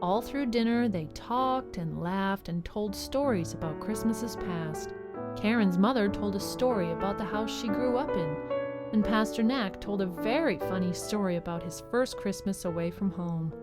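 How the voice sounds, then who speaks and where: A woman's voice: medium pitch, normal speed. Woman narrating a story over music. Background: clear music.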